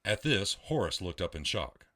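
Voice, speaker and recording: A man narrating an audio drama in a steady storytelling voice. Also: low voice, normal speed.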